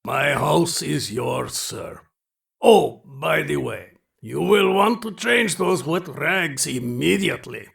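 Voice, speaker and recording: Creature role: towering reptilian nobleman, very gravelly, slow and swooping, courtly with quiet menace, space audio drama. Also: on the high side, lively intonation, full character voice. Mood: gracious. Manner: formal, courtly, deliberate, theatrical. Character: towering male reptilian alien aristocrat, genteel, sinister hunting host.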